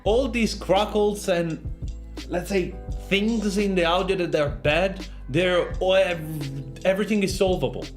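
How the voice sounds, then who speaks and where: A man's voice: high-pitched, measured pace. A man who is a non-native English speaker, hosting an informal sound design tutorial in a joking, self-deprecating manner. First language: not English. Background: music.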